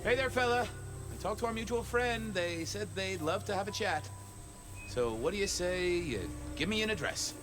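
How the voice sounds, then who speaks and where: Wry weary investigator, game dialogue, highish voice, animated, casual, music behind. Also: male voice, moderate pace. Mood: weary, breezy. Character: sardonic, weary male investigator making casual deals. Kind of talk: video game dialogue acting.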